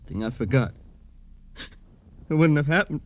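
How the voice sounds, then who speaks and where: An actor playing an anguished, guilt-ridden man in a radio drama, halting and pleading as he confesses. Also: on the high side, expressive, no echo, highs cut off. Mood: halting, remorseful. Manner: halting, pleading, tearful, repeats himself. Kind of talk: old-time radio drama acting.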